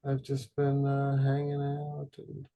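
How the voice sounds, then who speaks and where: A man from Detroit, a podcast guest, chatting casually in a halting, rambling way with many fillers and deadpan jokes. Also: mid-range voice, vocal fry. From Detroit, Michigan. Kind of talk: casual chat.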